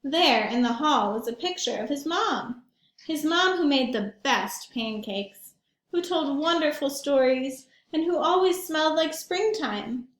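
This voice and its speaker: A woman reading a picture book aloud to young children in an expressive, emphatic way, pausing to put questions to her listeners. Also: sits high, reverberant.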